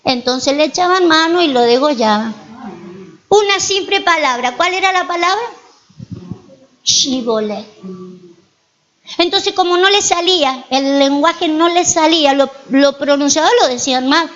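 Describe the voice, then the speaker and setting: A woman's voice: high-pitched, animated, a little slow, pauses often, fairly bright tone, dull audio. A woman pastor with an Argentine accent, preaching a sermon in church and telling stories with frequent rhetorical questions to the congregation. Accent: Argentine Spanish.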